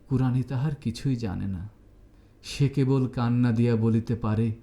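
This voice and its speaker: A man reading a literary story aloud as narrator, in a measured, dramatic storytelling manner. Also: mid-range voice, mellow, echoey room.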